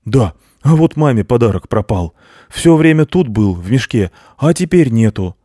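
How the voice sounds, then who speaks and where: A man's voice: medium pitch, sing-song, moderate pace, warm tone, dry recording, studio quality, voice pushed up. A man voicing a forgetful old Father Frost in a storybook, fretting over a lost gift. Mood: flustered.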